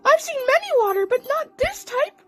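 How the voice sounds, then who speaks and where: A woman voicing an excitable female mermaid cat in a cartoon fan story, exclaiming dramatically. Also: very high voice, moderate pace, music in the background, voice pushed up. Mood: shocked.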